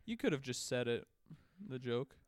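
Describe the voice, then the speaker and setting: Sarcastic deadpan young man, smooth voice. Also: mid-range voice, moderate pace, no room sound, clean studio sound. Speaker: podcast co-host. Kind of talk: casual chat.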